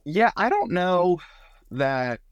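A man's voice: sits high, big pitch swings, takes it slowly, smooth, no echo, clean studio sound. A man from Southern Maryland, a singer-songwriter guest, talking casually with frequent restarts and fillers. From Southern Maryland, USA. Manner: chatty, many restarts and fillers. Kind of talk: casual podcast conversation.

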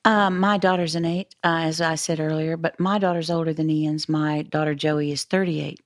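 A woman in her sixties co-hosting a podcast, speaking in a measured way and retelling stories with quoted dialogue. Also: sits low, steady tone, no room sound, studio quality. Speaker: podcast co-host. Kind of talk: casual interview conversation.

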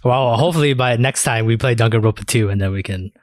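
A man's voice: mid-range voice, fast talker, no echo. A man hosting a podcast in casual chat about anime and games, swearing freely as he talks. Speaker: podcast host.

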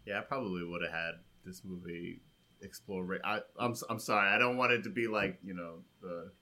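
A man's voice: low voice, brisk, bright, forceful tone. A man co-hosting a podcast, giving casual but emphatic film opinions and restarting to find his point.

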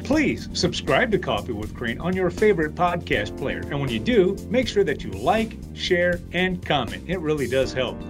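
A man's voice: a little high, big pitch swings, normal speed, few pauses, music in the background. A man hosting a podcast in a polished, upbeat way, reading an intro and asking interview questions. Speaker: podcast host.

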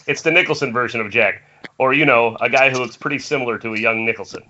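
A man's voice: mid-range voice, quick pace, pushed and bright. A man narrating the stage directions of a screenplay in an amateur table read. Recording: dull, noisy internet call audio.

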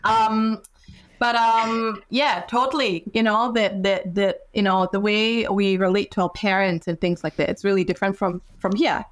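Woman, from Singapore, psychologist guest, podcast chat, thoughtful, many ums, mid pitch. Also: fairly even intonation, moderate pace. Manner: thoughtful, with frequent ums and restarts. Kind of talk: reflective podcast conversation.

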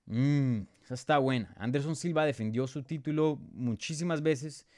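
A man's voice: medium pitch, normal speed, darkish tone, no room sound, studio quality. A man with a Bogotá Colombian accent hosting a casual live sports podcast, talking conversationally and thinking aloud as he gives his analysis. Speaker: podcast host and MMA analyst. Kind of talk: casual live sports preview, answering viewer questions.

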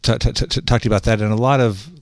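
A man hosting a casual interview, speaking haltingly with many fillers. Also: mid-range voice, fairly even intonation, no echo, studio quality. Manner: halting, lots of fillers and restarts. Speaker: podcast host.

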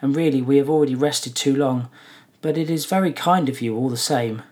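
A man's voice: medium pitch, a little fast, darkish tone, pitched above the performer's own voice, lightly acted. A man voicing a polite, slightly hesitant young male hobbit.

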